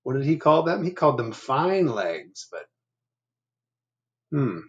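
A man in his fifties reading a picture book aloud to children, adding chatty asides and gentle questions. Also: mid-range voice, sing-song, slow, dull audio, a little hiss or hum. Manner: asking the listeners gentle questions. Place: living room at home. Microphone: laptop webcam at arm's length.

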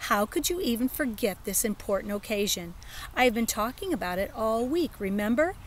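A woman doing a loud little kindergarten girl. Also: on the high side, expressive, brisk, slight background noise, close to an everyday voice. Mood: eager. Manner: loud, eager, pleading. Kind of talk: children's storybook read-aloud.

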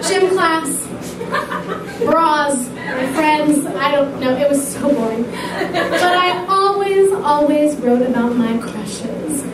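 A young woman doing cabaret patter between songs, wry and self-mocking, laughing through her stories, recorded from far off in a small room with a piano behind. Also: very high voice. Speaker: cabaret singer and performer. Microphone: handheld mic on stand, filmed from far camera. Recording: echoey room, taped from far off. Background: piano playing.

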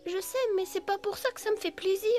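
A woman's voice: extremely high pitch, level tone, quick pace, darkish tone. A woman voicing a sad, meek, dutiful little girl in a French cartoon dub, with a plaintive manner. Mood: reluctant, sad.

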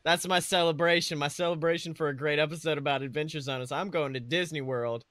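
Young man, podcast co-host, bright lively voice, slangy comedic chat, dry close sound. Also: fairly high pitch, fast talker, dry recording. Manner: animated, slangy, profane.

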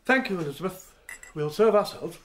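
A man's voice: sits high, lively intonation, brisk. An actor playing a proud, troubled father and local dignitary in a radio drama, stiff and formal. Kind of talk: BBC radio drama acting.

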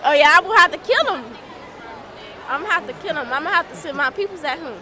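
Teen girl interviewee, very high, bright voice, crowd noise around. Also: lively intonation, fast talker. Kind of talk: street interview answers. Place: outdoor school courtyard.

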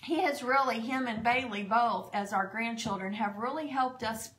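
A woman's voice: on the high side, moderate pace, a bit bright, poor audio. A middle-aged woman pastor praying fervently and citing scripture, recorded at home on a desk microphone. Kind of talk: spoken prayer and testimony on a church broadcast. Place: home dining room. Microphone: desk podcast microphone.

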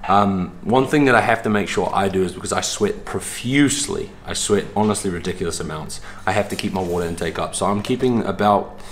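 Low-voiced young New man, echoey room. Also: brisk, hardly any pauses. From New Zealand. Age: young adult. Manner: blokey, slangy, sweary. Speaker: fitness vlogger. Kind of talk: casual vlog chat to camera. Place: indoors in kitchen, living room and gym. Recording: echoey room with background noise.

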